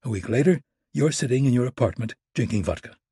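A man's voice: animated, quick pace, dark tone, breathy edge, no echo, studio quality. A man doing a cold, menacing operative. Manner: low, clipped, threatening.

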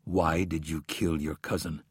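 A man voicing a cold, controlled male assassin who speaks low and quietly with menace. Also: level tone, measured pace, slightly rough, no room sound, studio quality, exaggerated voice.

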